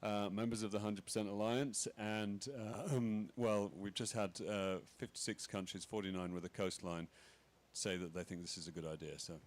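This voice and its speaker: A very deep-voiced man giving remarks at a conference panel. He talks in a halting way, with lots of "um"s and restarts as he works through his points. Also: steady tone. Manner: halting, many fillers and restarts. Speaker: panel speaker. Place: conference pavilion.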